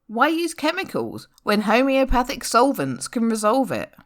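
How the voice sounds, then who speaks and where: Preachy, insistent young New Age believer woman performing, spoken-word piece, lively swooping intonation, declamatory. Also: a little high, normal speed, pitched above the performer's own voice.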